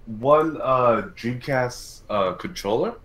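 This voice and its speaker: A man hosting a casual gaming podcast, talking in a halting way and often repeating his words. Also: medium pitch, expressive, fairly bright tone. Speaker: podcast host.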